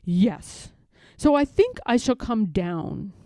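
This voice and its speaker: A woman voicing an earnest, self-important male toy bear from a children's storybook, speaking deliberately. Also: medium pitch, big pitch swings, warm tone, dry recording, studio quality. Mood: solemn, decided. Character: earnest, pompous little toy bear, male, slow-thinking.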